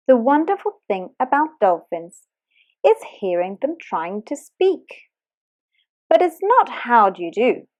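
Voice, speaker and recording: A woman reading a rhyming children's picture book aloud in an animated, sing-song way. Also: sits high. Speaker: storybook reader.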